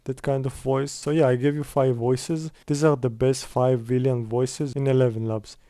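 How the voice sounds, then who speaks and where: A man's voice: medium pitch, level tone, normal speed, dark tone, no room sound. A man presenting a casual step-by-step screen tutorial in a conversational way.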